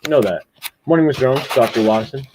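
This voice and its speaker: Man playing quick, folksy village postman, noisy recording. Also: mid-range voice, natural voice. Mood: kindly, apologetic. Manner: folksy, repeats himself. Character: Gilly, kindly, talkative male village postman. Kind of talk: radio drama acting.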